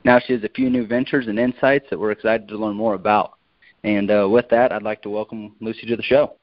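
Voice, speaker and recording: A man's voice: fairly low pitch, steady tone, a little fast, no echo. A man hosting a podcast interview in a casual way, recorded over a remote call. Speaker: podcast host. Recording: dull, lo-fi call audio.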